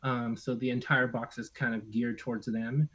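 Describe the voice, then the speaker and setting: A man talking as a guest on a video-call chat, relaxed and a bit hesitant. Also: medium pitch, moderate pace, slightly rough, poor audio. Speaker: guest, merch creator. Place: home room, video call.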